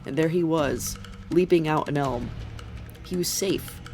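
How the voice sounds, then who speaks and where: A woman's voice: sits low, unhurried, a little mellow. A woman reading scripted fiction as a dramatic narrator. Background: music.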